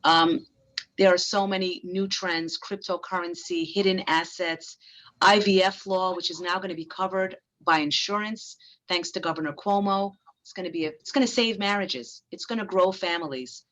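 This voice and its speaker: Frank, brisk woman lawyer presenting. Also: lowish voice, a bit bright. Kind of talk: business mixer presentation.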